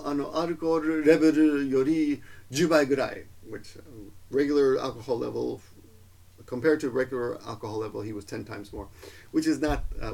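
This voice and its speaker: A man with a gravelly, husky voice talks through a casual language lesson. He rambles, stops to correct himself and fills gaps with ums and uhs. His delivery is relaxed and explanatory, like a teacher recording at home. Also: mid-range voice. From Peru. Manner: rambling, self-correcting explanations with many fillers.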